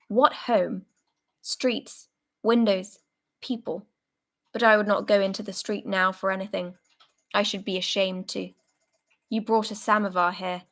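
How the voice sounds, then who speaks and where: A woman voicing a distraught male soldier who speaks in a hoarse, quivering voice, ranting and accusing as she reads a story aloud. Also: mid-range voice, fairly bright tone. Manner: agitated outburst, pressing and accusing.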